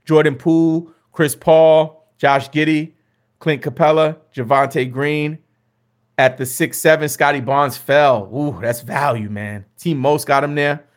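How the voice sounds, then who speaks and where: Chatty man, slightly hoarse. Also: a little high, fairly even intonation, unhurried. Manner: chatty, talks to viewers, stammers and repeats himself. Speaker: fantasy basketball host. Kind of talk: casual mock draft commentary.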